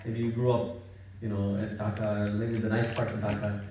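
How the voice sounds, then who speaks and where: Man from Bangladesh, relaxed home religious talk, warm tone, heard from afar. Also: on the low side, normal speed, slight background noise. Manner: relaxed, repetitive, sprinkled with religious phrases. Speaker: halaqah speaker. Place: home living room gathering. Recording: echoey room, far from mic.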